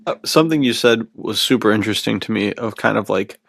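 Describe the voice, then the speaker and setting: A man's voice: lowish voice, fast talker, creaky, a bit bright, dry recording, studio quality. A man hosting a casual podcast chat, talking in an informal, agreeable, laid-back way. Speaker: podcast host.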